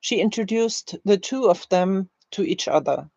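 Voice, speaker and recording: A woman language teacher reads short drill phrases slowly and deliberately, leaving careful space between them. Her voice sits at an average pitch. The recording is very dry and a little dull. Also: expressive, vocal fry, darkish tone, dry recording. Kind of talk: slow, scripted language-learning drill, read aloud.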